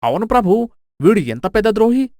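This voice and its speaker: A man's voice: high voice, sing-song, brisk, dark tone, no room sound, clean studio sound. A man voicing a flustered, deferential royal minister who complains, in a dramatised folk tale. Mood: indignant. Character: flustered, fawning royal minister, eager for harsh punishment.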